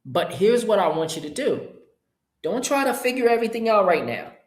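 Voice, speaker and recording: Man pitching in a roomy space. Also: very animated, a little fast. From Haiti. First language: Haitian Creole. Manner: upbeat, informal, short punchy phrases with rhetorical repetition. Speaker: entrepreneur hosting an online presentation. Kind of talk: motivational webinar pitch to a live chat. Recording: roomy, echoing sound.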